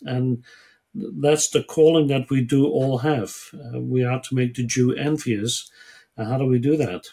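A man of about sixty from the Netherlands, a guest answering interview questions in a measured, earnest way and pausing to find his words. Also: medium pitch, steady tone, mellow, slightly rough. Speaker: guest, ministry worker and lecturer. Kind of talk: interview answers, personal storytelling.

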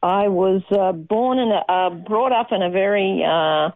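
A middle-aged woman from Australia, a guest telling her faith story earnestly with many fillers and pauses. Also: medium pitch, dry recording. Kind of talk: personal testimony interview. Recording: tinny phone-like sound, dry.

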